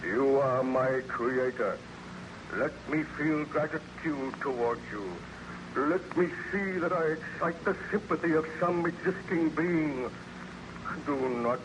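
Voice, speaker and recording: A man's voice: medium pitch, natural voice. Man acting a solemn, menacing monster, noisy recording. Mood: pleading, then anguished. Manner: solemn, declamatory. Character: hideous man-made monster, pleading, vengeful and menacing. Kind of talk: old-time radio horror acting. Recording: noisy vintage radio recording.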